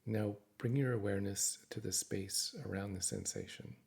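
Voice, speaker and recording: A man leads a guided meditation in a low voice with a lot of creak and gravel in it. He gives gentle instructions and speaks reassuringly, like a guide walking someone through calming exercises. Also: normal speed.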